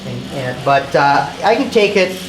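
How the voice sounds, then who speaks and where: A man from Michigan chatting casually as an interview guest, halting and repeating words as he goes. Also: fairly high pitch, lively intonation. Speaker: interview guest, car collector. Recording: echoey, clearly noisy location sound.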